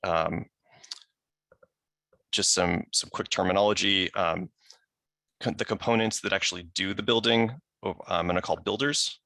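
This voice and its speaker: A man with a low, gravelly voice walks through a technical presentation. He sounds crisp and bright, recorded close and dry, and keeps the explanation casual with little asides. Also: normal speed, long pauses, dry recording. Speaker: software engineer presenting. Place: remote video call.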